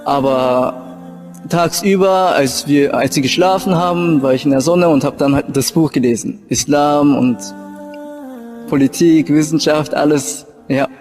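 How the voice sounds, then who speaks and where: A young man from Japan speaks in a slightly high-pitched voice over background music. He tells his personal story in an informal way, pausing and restarting as he searches for his words. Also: a little mellow. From Japan and Germany. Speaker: convert telling his story.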